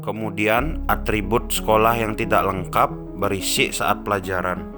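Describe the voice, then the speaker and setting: Low-pitched male storyteller over music. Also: little pitch movement, normal speed. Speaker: narrator. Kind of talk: scripted story narration. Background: music behind the voice.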